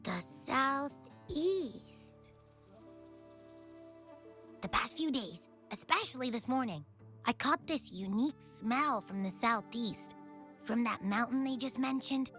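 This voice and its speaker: A bubbly girl companion from a video game. Her voice is high and bright, with playful, expressive curiosity and friendly teasing. Music plays behind her. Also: measured pace. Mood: pondering, curious.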